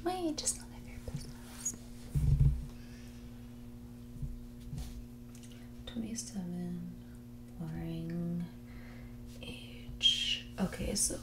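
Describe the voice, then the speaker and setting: A woman playing a mean, condescending rich young woman on a first date in a whispered, soft-spoken ASMR roleplay, with a bored drawl. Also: fairly low pitch, gravelly, background noise. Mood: irritated contempt. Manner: condescending, drawling, bored, valley-girl filler "like".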